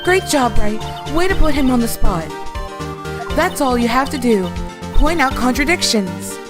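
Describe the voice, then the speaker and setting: A woman's voice: high voice, animated, measured pace. A woman playing an assured mentor lawyer coaching a rookie in a courtroom game fan dub, instructive and encouraging, over music.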